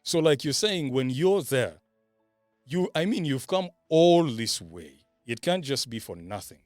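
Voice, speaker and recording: A man's voice: medium pitch, sing-song, unhurried, a little mellow, no echo. Man, emphatic, motivational, repeats key phrases, dry sound, faint music behind. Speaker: podcast panellist. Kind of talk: motivational casual talk.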